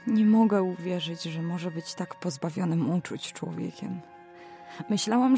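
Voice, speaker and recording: A woman's voice: medium pitch, gravelly, warm tone, lightly acted. An actress playing an earnest, emotional young woman in love and at odds with her father in a historical radio drama. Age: young adult.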